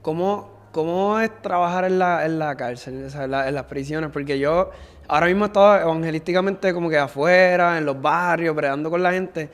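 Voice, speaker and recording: A man's voice: on the high side, fairly quick. A man with a Puerto Rican accent hosting a casual interview in an informal, slangy way with frequent interjections. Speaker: interview show host.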